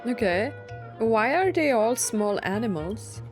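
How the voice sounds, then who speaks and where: A woman's voice: on the high side, animated, slow, faint music behind. A young woman giving casual, informal Let's Play commentary on a story game, reacting as she goes. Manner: reads game dialogue aloud and reacts informally. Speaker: gaming video host playing a story game.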